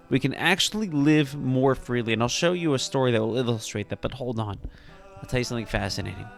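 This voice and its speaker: Man, rabbi, sermon-style teaching, emphatic, gravelly, faint music underneath. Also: medium pitch. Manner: earnest, emphatic, builds to animated peaks.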